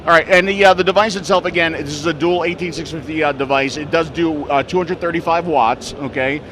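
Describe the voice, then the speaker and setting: A man's voice: a little high, fairly even intonation, a little fast, crowd noise. A man hosting a vlog, chatting casually and jokingly while walking through products. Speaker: vlog host. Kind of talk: casual chat and product walkthrough. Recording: loud background noise.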